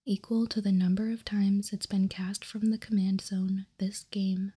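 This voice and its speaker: A woman whispering softly for ASMR, reading aloud in deliberate, measured short phrases close to the microphone. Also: mid-range voice, little pitch movement. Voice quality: soft, breathy whisper. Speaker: ASMR video host reading trading cards. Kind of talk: whispered ASMR, slow card read-out.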